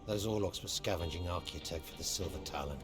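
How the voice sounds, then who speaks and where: Man playing a very deep, gruff bounty hunter. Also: fast talker, over music, lightly acted. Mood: grim, reporting. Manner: curt, deadpan. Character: gruff, hardened male bounty hunter, curt and unimpressed. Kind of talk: video game cutscene acting.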